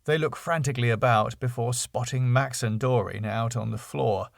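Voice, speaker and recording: A man's voice: medium pitch, normal speed, creaky, dry recording, studio quality. A man narrating a comedy script, reading out scene headings and stage directions. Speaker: writer and narrator.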